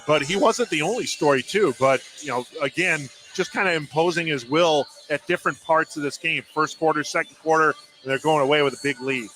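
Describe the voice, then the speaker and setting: A man's voice: fairly high pitch, rough recording. An American man giving conversational analysis as a color commentator on a basketball broadcast.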